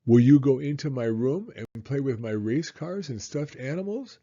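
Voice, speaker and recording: A man's voice: mid-range voice, moderate pace, dark tone, highs cut off, lightly acted. A man voicing a cheerful young boy in a storybook, talking eagerly and affectionately to his dad. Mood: affectionate, wondering.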